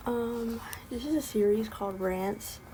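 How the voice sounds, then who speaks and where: A woman's voice: a little high, a little slow, dark tone, a little hiss or hum, low-quality recording. A young woman with a slight lisp ranting casually, rambling with many ums and sounding exasperated. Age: young adult. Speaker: vlogger. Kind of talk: casual spoken rant.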